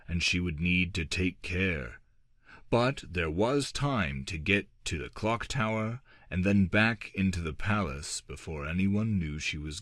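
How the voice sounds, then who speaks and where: Deep-voiced man narrating a fantasy audiobook. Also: dry recording, clean studio sound.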